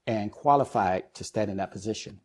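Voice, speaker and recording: A middle-aged man speaking as an expert interview guest, explaining things in a measured, deliberate way with pauses between phrases. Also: on the low side, darkish tone. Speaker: guest expert, air traffic controller and business executive.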